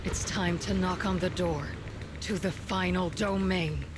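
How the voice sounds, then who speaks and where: Actress playing a slow-spoken, vengeful woman commander. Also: lowish voice, steady tone, noisy background, lightly acted. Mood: bitter, resolute. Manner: solemn, theatrical, declamatory. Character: vengeful fallen female soldier commander, proud and bitter. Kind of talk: dramatic video game voice acting. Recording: game dialogue under loud music. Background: loud dramatic game music.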